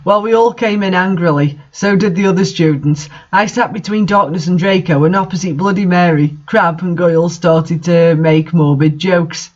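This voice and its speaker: A man's voice: sits high, moderate pace, few pauses, highs cut off. A man reading a story aloud and commenting on it in a mocking, exasperated way. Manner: exasperated, sardonic. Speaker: reader and commentator of bad fan fiction.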